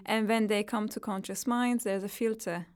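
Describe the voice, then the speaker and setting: A woman from Turkey with a Turkish accent, a guest artist talking thoughtfully in an interview, often pausing and laughing softly between phrases. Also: medium pitch, fairly even intonation, smooth. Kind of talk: interview conversation.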